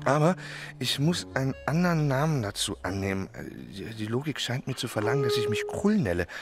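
An actor playing a giddy, tipsy young French aristocrat in a German radio play, effusive, halting and theatrical. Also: male voice, mid-range voice, lively intonation, music in the background, natural voice. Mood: tipsy, eager.

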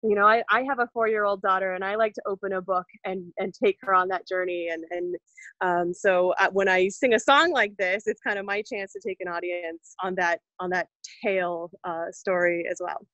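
A woman's voice: medium pitch, steady tone, moderate pace, fairly bright tone, dry recording. A woman in her thirties, a classical singer, chatting eagerly about a song over a video call, with plenty of fillers. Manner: chatty, enthusiastic, frequent fillers. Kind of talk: casual interview chat. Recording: dull lo-fi video call audio.

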